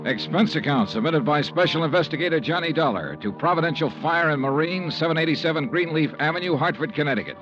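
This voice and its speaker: A man's voice: a little high, expressive, fast, few pauses, close to an everyday voice. An American man playing a hardboiled, businesslike insurance investigator in an old-time radio detective drama, clipped and matter-of-fact. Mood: brisk, matter-of-fact. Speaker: lead actor and narrator. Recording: muffled vintage radio recording, music behind.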